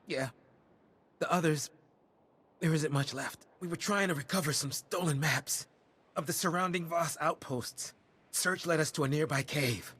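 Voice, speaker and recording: A battered soldier in a video game. His voice is husky and a little high, and he talks in an earnest, halting, weary way, like someone giving a field report. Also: a bit bright. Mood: shaken, weary. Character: weary wounded male soldier, grateful and earnest. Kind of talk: video game cutscene acting.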